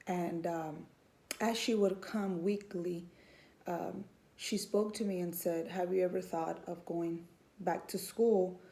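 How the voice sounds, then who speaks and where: A woman tells her own faith story in a personal testimony. She speaks earnestly, pausing often with ums as she gathers her thoughts. Her voice is warm and mellow and sits slightly low. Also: steady tone. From Houston, Texas. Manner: earnest, hesitant with many ums, faith-filled. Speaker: student and mother.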